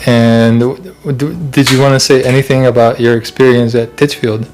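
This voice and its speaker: A man's voice: medium pitch, flat delivery, normal speed, darkish tone, slight background noise. A man casually interviewing his wife at home, prompting her with questions and teasing her along the way. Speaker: interviewer, husband. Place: home kitchen.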